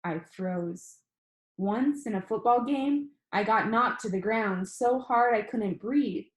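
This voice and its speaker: A woman reading a novel aloud as expressive storybook narration, telling it in the first person. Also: a little high, slow pace. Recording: roomy, echoing room sound.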